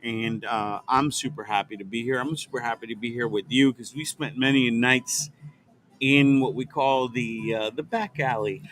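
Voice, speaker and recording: A man's voice: medium pitch, normal speed, fairly bright tone, a little hiss or hum. Enthusiastic man hosting an outdoor podcast. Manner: easygoing, enthusiastic, many fillers. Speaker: podcast host. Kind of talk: casual chat. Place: outdoor back alley behind a restaurant bar at night.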